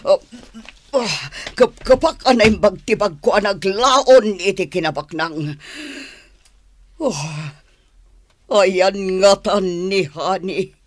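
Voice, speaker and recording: Man acting a high-pitched nervous fugitive, Ilocano accent. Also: slow, no room sound, studio quality. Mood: uneasy musing. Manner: hesitant, stammering, melodramatic. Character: nervous male fugitive hiding alone from police and soldiers. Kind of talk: Filipino radio drama acting.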